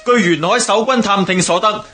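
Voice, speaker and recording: An actor playing a dutiful, anxious soldier who reports urgently and deferentially in a historical radio drama. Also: male voice, high-pitched, moderate pace, bright, forceful tone, faint music behind.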